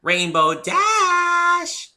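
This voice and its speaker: Man putting on a high-pitched bubbly girl pony. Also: wildly swooping pitch, extremely slow, sharp tone, heavily acted. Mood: excited. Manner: exclaiming, sing-song, cheerful. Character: bubbly, loyal, excitable young female cartoon pony.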